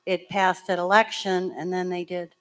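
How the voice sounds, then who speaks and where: A woman town clerk with a hoarse voice, chattily explaining voting procedure step by step at a public meeting. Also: on the low side, flat delivery, normal speed. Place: school meeting room.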